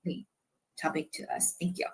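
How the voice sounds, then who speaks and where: A woman's voice: normal speed, low-quality recording. A woman hosting a live audio session, welcoming guests in an upbeat, chatty way. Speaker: livestream host.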